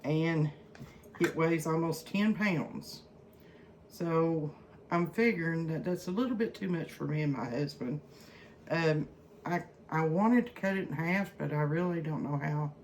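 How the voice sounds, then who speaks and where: An older woman talks in an Eastern Kentucky accent, unhurried and plainspoken, with frequent halts. Her tone is mellow and relaxed, in a casual home-cooking chat. A phone microphone picks up faint noise behind her. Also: low-pitched. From Eastern Kentucky, USA. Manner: slow, halting, folksy plain talk. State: feeling weak and unwell. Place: at home, indoors in a kitchen. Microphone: iPhone, no separate microphone.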